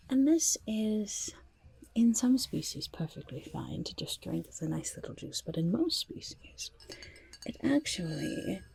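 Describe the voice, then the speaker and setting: A sly goblin merchant, acted out by a woman murmurs in a very breathy, husky whisper that often crackles into fry. The pitch sits on the low side. The patter is coaxing, rambling and a little shady, in the close, hushed manner of an ASMR fantasy roleplay. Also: exaggerated voice. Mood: conspiratorial, coaxing. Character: scheming, chatty goblin market trader peddling shady wares.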